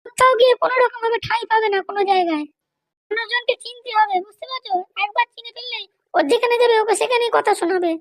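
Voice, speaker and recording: A woman's voice: extremely high pitch, fast. A woman voicing a bossy, vengeful female politician and scolding wife in a comedy cartoon, haranguing and boastful.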